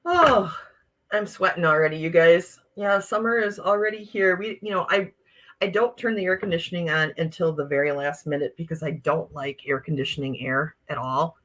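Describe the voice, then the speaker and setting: A woman's voice: fairly low pitch, moderate pace, a bit bright, poor audio. A woman from Wisconsin with an Upper Midwest American accent, chatting casually on a livestream, reading viewer comments aloud in a bubbly, exclamatory way. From Wisconsin, USA. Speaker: livestream host.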